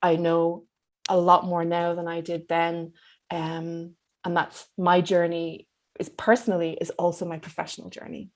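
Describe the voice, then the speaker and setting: An Irish woman in her fifties speaking as an interview guest, in an articulate, measured and reflective way. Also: lowish voice, monotone. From Dublin, Ireland. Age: 50s. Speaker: psychotherapist being interviewed.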